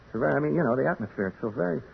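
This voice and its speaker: A man's voice: mid-range voice, lively intonation, rapid-fire, gravelly. An actor in an old-time radio drama playing a jumpy, chatty broadcaster alone in a haunted house, rambling and stammering as he confides in listeners. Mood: jumpy, uneasy. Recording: noisy, narrow-band old radio recording.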